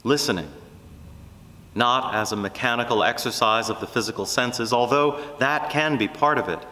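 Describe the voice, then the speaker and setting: Male preacher, earnest, measured phrasing, deliberate pauses, forceful bright tone, long reverb. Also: mid-range voice. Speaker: preacher giving a sermon. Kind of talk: reflective sermon, closing in prayer.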